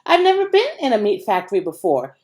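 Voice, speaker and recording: Woman as a storybook girl, young, shy, curious, understated, mid pitch. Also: huge pitch swings, fairly quick, a little mellow. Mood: curious, matter-of-fact.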